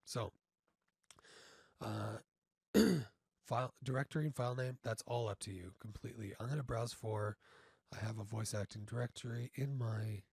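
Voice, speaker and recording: A man informally instructing step by step in a software tutorial, halting and often checking in, on a close mic. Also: mid-range voice, vocal fry, slightly rough, dry recording. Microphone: close studio mic through audio interface.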